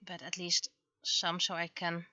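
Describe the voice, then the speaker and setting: A woman chatting casually on a livestream as an artist at work, speaking haltingly as she thinks aloud. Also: lowish voice, completely flat delivery, bright, forceful tone, dry recording, lo-fi sound.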